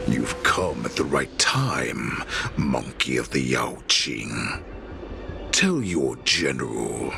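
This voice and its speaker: An actor playing a bloodthirsty, grandiose wolf warlord in a video game, declaiming with grim menace in a gravelly growl. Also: male voice, very deep voice, very animated, very slow, pauses often, pushed and bright, faint music behind, heavily acted. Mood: cold menace. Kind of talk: video game villain acting.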